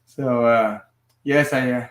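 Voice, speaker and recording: A man hosting a casual family livestream from home, moving easily between languages with a relaxed, filler-heavy way of talking. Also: medium pitch, takes it slowly. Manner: switches between languages mid-sentence. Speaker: livestream host and father. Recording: echoey room sound.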